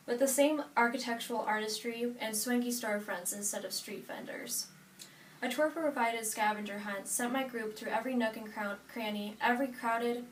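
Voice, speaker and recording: A young American woman, about eighteen, giving a prepared personal narrative speech from notes. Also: on the high side. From United States, Nebraska. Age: 18, young adult. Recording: echoey room, distant mic, faint noise.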